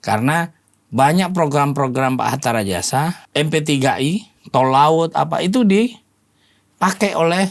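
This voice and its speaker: A man's voice: fairly high pitch, lively intonation, measured pace. A man in his forties chatting casually as a guest, telling stories in an interview. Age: 40s. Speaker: podcast guest, political volunteer and former journalist.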